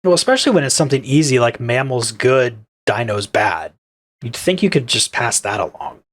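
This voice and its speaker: A man's voice: medium pitch, big pitch swings, normal speed. A man co-hosting a podcast, talking casually as he shares his views in a review. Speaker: podcast co-host. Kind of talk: casual review discussion of a cartoon.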